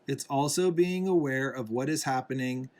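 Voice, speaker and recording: A man reading a children's picture book aloud in a deliberate way, pausing between phrases. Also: mid-range voice, darkish tone. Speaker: reader, parent reading to a young child.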